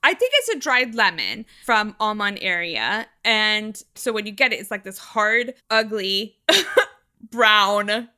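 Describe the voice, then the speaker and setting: Bright-voiced chatty woman co-host. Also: mid-range voice, big pitch swings, takes it slowly. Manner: chatty, many "like" fillers, laughs easily. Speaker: podcast co-host. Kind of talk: casual chat.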